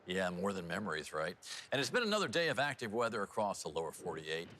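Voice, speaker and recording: A man's voice: sits low, very animated, fast, sharp tone, a little hiss or hum. A man anchoring TV news in a folksy, playful, chatty style, leaning on words for dramatic emphasis. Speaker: news anchor and feature reporter. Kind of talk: chatty TV news anchoring and light feature narration.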